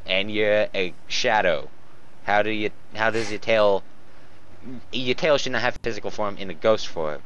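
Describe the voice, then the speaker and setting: Low-voiced man, muffled lo-fi sound. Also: measured pace, fairly bright tone, slight background noise. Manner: often breaks into laughter and stumbles while reading. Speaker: co-host reading fan fiction aloud. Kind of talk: comedic read-aloud with mocking commentary. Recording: dull lo-fi home recording.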